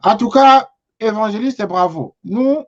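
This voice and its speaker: A man hosting a TV talk show, speaking haltingly with frequent pauses. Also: high voice, highs cut off. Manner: hesitant, many fillers and restarts. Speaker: TV show host.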